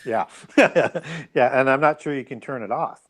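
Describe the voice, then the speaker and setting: A man's voice: mid-range voice, a little slow, a bit bright. A man speaking as an interview guest, explaining his views in a conversational, musing way.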